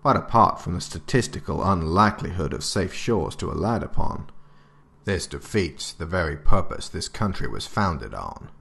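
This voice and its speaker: A man playing a stern government director in an audio drama. His voice is extremely deep with a gravelly creak, and he delivers formal, oratorical narration with grave, emphatic authority. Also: fairly bright tone, heavily acted. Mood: scornful, defiant. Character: stern, resolute male government director writing a survival handbook.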